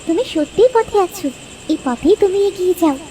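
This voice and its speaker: A woman voicing a gentle, solemn fairy who advises and admonishes in a Bangla cartoon. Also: very high-pitched, animated, a little fast, slight background noise. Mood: gentle, reassuring. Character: gentle, solemn fairy granting gifts to the honest.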